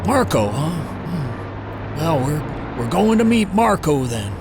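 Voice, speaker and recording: Man acting gruff, lively older uncle. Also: a little high, slow pace, background noise, full character voice. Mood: wary. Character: gruff, protective older uncle, rough-spoken and plucky. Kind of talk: horror audio drama acting.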